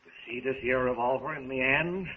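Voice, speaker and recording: An actor playing a rough gang boss in a 1930s radio serial, menacing and sneering with uneducated grammar. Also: male voice, mid-range voice, fairly quick, lightly acted. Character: rough, bullying male gang boss interrogating captives. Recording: narrow, noisy 1930s radio recording.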